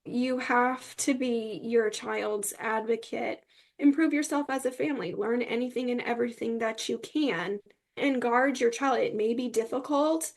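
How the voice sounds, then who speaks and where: High-pitched young woman with creaky voice. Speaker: podcast guest sharing personal story. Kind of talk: earnest personal testimony in conversation.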